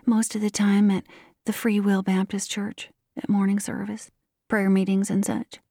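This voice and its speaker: A woman voicing a demure young country woman in a storybook, speaking in a monotonous recitation with rustic dialect. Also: medium pitch, normal speed, creaky, dry recording, clean studio sound. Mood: flat, reciting.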